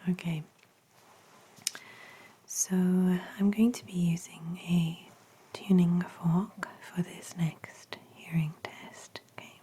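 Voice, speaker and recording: A woman speaking in a gentle, hushed, whispery voice, playing an examiner in an ASMR medical roleplay. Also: on the low side, flat delivery, slow pace, slightly rough. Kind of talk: ASMR medical exam roleplay, soft spoken.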